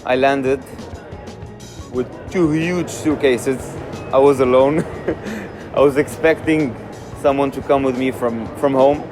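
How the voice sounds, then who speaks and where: A man's voice: medium pitch, slow. A man from Morocco in his twenties, answering interview questions in a candid, easygoing way with frequent fillers. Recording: music under voice.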